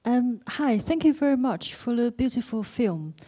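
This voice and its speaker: A woman's voice: fairly high pitch, lively intonation, normal speed, mellow. A woman in a cinema audience asking a careful, polite question into a roving mic. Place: cinema auditorium. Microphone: roving handheld mic.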